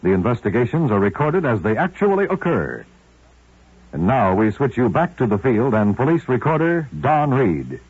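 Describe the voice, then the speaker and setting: An American man delivers a deliberate, dramatic 1950s radio announcement. His voice is lively in pitch and a bit rough, on a tinny, dry vintage recording. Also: no room sound.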